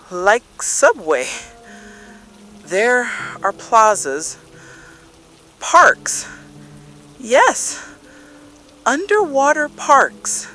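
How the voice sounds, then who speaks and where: A woman playing a deep-sea explorer reporting by radio in an apocalypse drama, speaking in halting, broken phrases with desperate awe. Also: a bit bright, slight background noise, full character voice.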